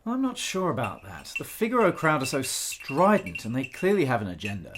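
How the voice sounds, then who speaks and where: A man acts out a jovial gentleman writer in a period radio play. His mid-pitched voice is highly animated, full of teasing, hearty, old-fashioned phrasing. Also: a little fast, a little hiss or hum. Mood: opinionated. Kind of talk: radio drama acting.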